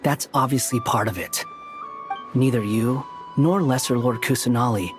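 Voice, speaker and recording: An actor playing an aloof, sardonic young scholar with a dry, curt delivery in a game cutscene. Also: male voice, mid-range voice, normal speed, close to an everyday voice. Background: game soundtrack music.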